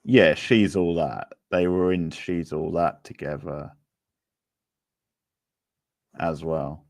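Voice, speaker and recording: A man's voice: extremely low pitch, takes it slowly, vocal fry, darkish tone, dry recording, clean studio sound. A man hosting a quiz in a casual, chatty way, rambling a little as he thinks aloud. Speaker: quiz host.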